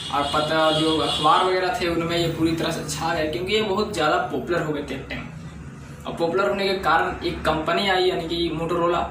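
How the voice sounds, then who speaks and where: High-voiced man in an echoey room. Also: a bit bright. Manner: repeats and rephrases himself often. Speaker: tech channel presenter. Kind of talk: informal explainer narration. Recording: echoey room with background noise.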